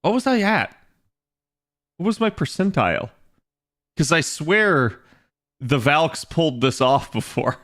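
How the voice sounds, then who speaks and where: A young man chatting casually on his game stream, rambling and restarting as he thinks aloud, with a slightly raspy voice today. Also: on the high side, sing-song, measured pace, pauses often, fairly bright tone. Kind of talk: casual livestream chat.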